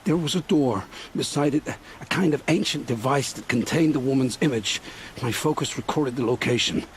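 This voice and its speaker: An actor playing a remorseful, condemned man in a video game drama, speaking gravely with a resigned, pleading manner. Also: mid-range voice, normal speed, slight background noise, natural voice. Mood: subdued, cooperative. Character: remorseful condemned man, coerced accomplice who loves his family.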